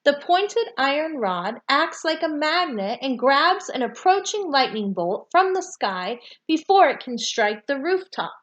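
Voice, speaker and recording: A woman reading a children's nonfiction book aloud with deliberate, emphatic phrasing for young listeners. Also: high voice, sing-song, fairly bright tone. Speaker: read-aloud storyteller.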